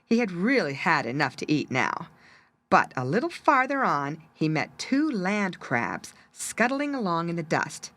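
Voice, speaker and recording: Female storyteller, slightly low pitch, very animated intonation, dramatic emphasis, children's tale narration. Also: a little slow.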